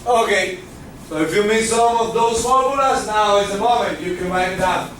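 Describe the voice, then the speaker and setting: Physics teacher, male, Cuban accent, high voice, heard from far off in an echoey classroom. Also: moderate pace, a bit bright, a little hiss or hum. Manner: checks in with students often. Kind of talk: classroom lecture.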